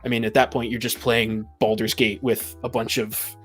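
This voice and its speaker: Male co-host, average pitch, wry and jokey in relaxed-format hobby chat, light music underneath. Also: normal speed, slightly rough. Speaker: podcast co-host. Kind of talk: casual discussion of tabletop roleplaying.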